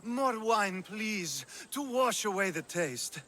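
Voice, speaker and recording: An actor playing an indulgent, languid fighter in a video game. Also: male voice, high voice, very animated, takes it slowly, fairly bright tone, slight background noise, heavily acted.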